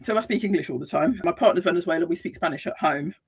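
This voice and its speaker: A woman's voice: fairly low pitch, quick pace, gravelly, breathy edge. A woman from the UK, a psychotherapist and life coach, chatting as a guest in a casual interview with many restarts and easy laughter. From United Kingdom. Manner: animated, frequent restarts, laughs easily. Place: Madrid, Spain.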